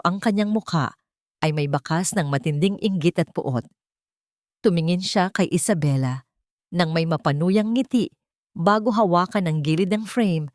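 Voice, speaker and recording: A Filipino woman with a low voice narrates a dramatic story. Her delivery is solemn and deliberate, and the sound is dry with very little room. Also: a little mellow, no echo.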